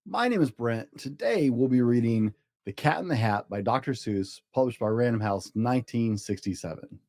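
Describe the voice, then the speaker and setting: A man hosting and narrating a children's storybook with expressive, deliberate, dramatic rhyming delivery. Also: medium pitch, no echo, clean studio sound. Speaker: host and storybook reader.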